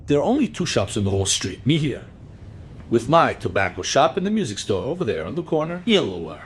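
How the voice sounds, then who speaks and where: An actor playing a gossipy tobacco shop keeper who rambles colloquially in a crime radio play. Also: male voice, medium pitch, very animated, brisk, slight background noise, lightly acted. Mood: gossipy, animated. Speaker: voice actor in a crime audio drama.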